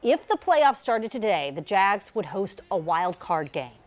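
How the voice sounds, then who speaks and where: Woman news anchor, mid-pitched voice. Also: big pitch swings, measured pace. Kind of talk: scripted news reading with light anchor chat.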